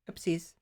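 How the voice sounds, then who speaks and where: Woman, streamer, casual chat, rambling, halting restarts, self-deprecating, desktop mic. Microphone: desktop microphone.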